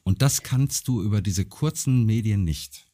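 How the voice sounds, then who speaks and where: A man's voice: fairly low pitch, unhurried, mellow. A man hosting a podcast interview who encourages his guest and agrees often. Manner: encouraging, with frequent backchannel agreement. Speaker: podcast host. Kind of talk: casual interview chat.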